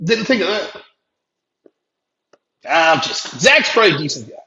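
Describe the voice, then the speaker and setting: A man's voice: on the high side, big pitch swings, normal speed, pushed and bright, a little hiss or hum. A male podcast host delivering a profane, combative, sarcastic political rant. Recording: roomy echo.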